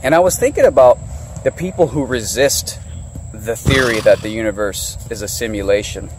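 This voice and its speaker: A man's voice: mid-range voice, lively intonation, moderate pace, a little mellow, slight background noise, rough recording. A man giving an informal, off-the-cuff video monologue.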